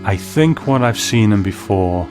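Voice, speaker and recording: A man hosting a review show, giving scripted commentary in a measured, explanatory way. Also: lowish voice, expressive. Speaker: host of a television review show. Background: plucked guitar music.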